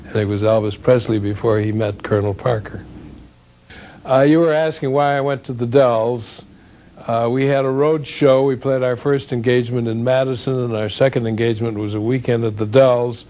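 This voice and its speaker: A man's voice: medium pitch, steady tone, normal speed, warm tone. An older man reminiscing in an interview, telling show-business anecdotes conversationally with quoted exchanges. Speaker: interviewee, former radio host.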